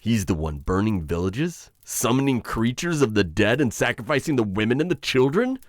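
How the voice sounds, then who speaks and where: A man playing a menacing, conflicted witch hunter in an ASMR roleplay, addressing his captive in a halting, intimate, taunting way. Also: medium pitch, big pitch swings, no echo, studio quality, close to an everyday voice. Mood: incredulous.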